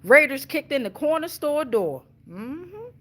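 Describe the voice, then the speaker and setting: A woman's voice: sits high, sing-song, measured pace, a bit bright. A woman hosting a casual spiritual tarot reading, blunt and slangy, swearing freely and repeating phrases for emphasis. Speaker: tarot reader, show host.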